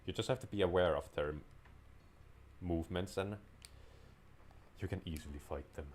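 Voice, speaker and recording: Low-voiced man, rambling game commentary. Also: moderate pace, a little hiss or hum. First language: Finnish. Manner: rambling, wanders into tangents and restarts sentences. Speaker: gameplay commentator. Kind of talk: casual let's-play commentary.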